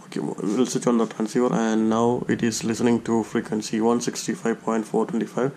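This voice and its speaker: A man's voice: lowish voice, monotone, a little fast, warm tone, slight background noise. A man presenting a step-by-step hands-on tutorial, often searching for his words as he explains.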